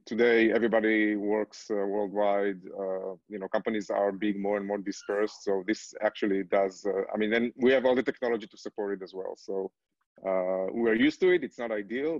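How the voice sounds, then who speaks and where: Man in his thirties, lowish voice, casual explainer, filler-laden phrasing, slightly muffled call audio. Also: steady tone, fairly quick, a little mellow, no room sound. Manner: hedging, repeats "kinda like". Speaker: company co-founder from gaming industry. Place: indoors on a video call.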